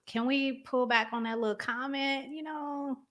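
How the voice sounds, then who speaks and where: A woman's voice: high-pitched, fairly even intonation, slow, fairly bright tone. A woman chatting conversationally as the host of a relationship talk show. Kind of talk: casual conversational chat.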